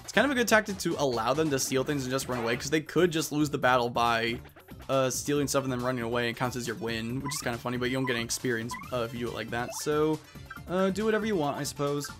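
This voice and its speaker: A man's voice: mid-range voice, quick pace, fairly bright tone. A man giving chatty, rambling, casual commentary as he plays a video game. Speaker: let's player. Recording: music behind the voice. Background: game music.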